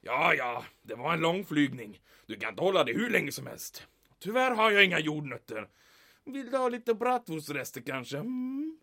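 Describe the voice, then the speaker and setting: An actor playing a blustering, touchy and self-satisfied German army major in a comic audio drama, with a husky voice, a German accent and theatrical exclamations. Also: male voice, fairly high pitch, big pitch swings, bright, forceful tone, exaggerated voice. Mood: irritated.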